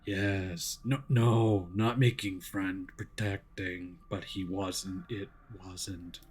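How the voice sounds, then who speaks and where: A man voicing the delirious ghost of a man in his thirties, muttering in halting fragments for a story game. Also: low voice, steady tone, slightly rough, heavily acted. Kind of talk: visual novel character voice.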